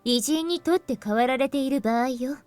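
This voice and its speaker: A woman's voice: high voice, quick pace, darkish tone, lightly acted. A woman playing a composed young female team leader in a Japanese visual novel, explaining things politely and with purpose. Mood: composed, purposeful.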